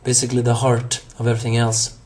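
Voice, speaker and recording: A hoarse young man reviewing an anime episode on his own, rambling with many fillers, restarts and self-corrections. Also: medium pitch, completely flat delivery, fast talker, darkish tone.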